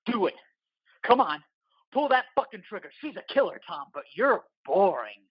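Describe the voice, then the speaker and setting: A man's voice: high-pitched, very animated, normal speed, breathy edge, no room sound, lo-fi sound, pitched above the performer's own voice, full character voice. A man voicing a manic, taunting villain driver in an audio play, gleeful and unhinged. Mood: taunting menace. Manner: taunting, gleeful, maniacal laughing.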